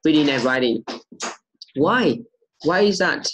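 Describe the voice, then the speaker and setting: A young man teaching English on a video call, repeating questions slowly to coax answers from his student. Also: fairly high pitch, expressive, fairly bright tone, slight background noise. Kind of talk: online English lesson over video call.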